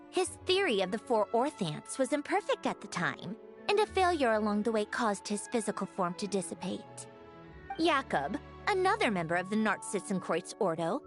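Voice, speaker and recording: A woman's voice: medium pitch, close to an everyday voice. An actress voicing a self-assured, clever young woman in a video game, explaining things in a confident, dryly teasing way. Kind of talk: game voice acting.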